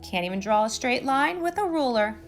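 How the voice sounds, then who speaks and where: A woman's voice: on the high side, animated, a little fast, soft background music, pitched above the performer's own voice. A woman reading the part of a shy, self-doubting little boy in a storybook. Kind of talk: storybook read-aloud.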